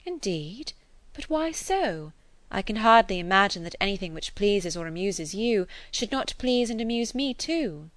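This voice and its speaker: A woman reading the lines of a lively young heroine in an audiobook, quick and eager, full of exclamations. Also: medium pitch, no echo.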